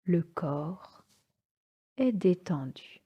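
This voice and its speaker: A woman instructor leading a guided relaxation meditation in a calm, soothing manner, with unhurried pauses between short phrases. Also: lowish voice, husky, highs cut off. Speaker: yoga nidra instructor.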